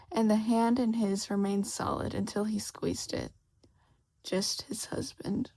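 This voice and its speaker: A woman's voice: medium pitch, gravelly, a little mellow, breathy edge. A woman reading a scripted story aloud as the narrator of a fan fiction audiobook. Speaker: podfic reader, narrator.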